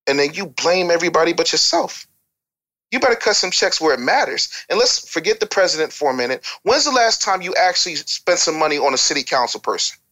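A man's voice: on the high side, bright, forceful tone. An American man giving opinionated, unscripted advice as a podcast guest, blunt, profane and scolding.

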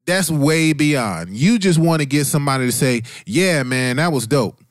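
A man's voice: fairly high pitch, expressive, normal speed, no room sound, studio quality. A man with an American accent in a casual, opinionated discussion, speaking informally with slang and some cursing. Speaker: podcast panelist.

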